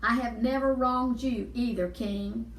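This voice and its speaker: A woman's voice: on the high side, steady tone, unhurried, lightly acted. A woman reading a children's Bible storybook as a faithful, innocent man, earnest and emphatic. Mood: earnest relief. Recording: echoey room, distant mic.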